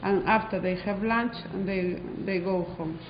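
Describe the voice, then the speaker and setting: A woman's voice: medium pitch, fairly even intonation, normal speed, background noise. Spanish-accented woman, hesitant, in a roomy hall. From Spain. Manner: hesitant, many fillers. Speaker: head teacher. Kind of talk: interview answers in non-native English. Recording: roomy hall, dull lo-fi sound.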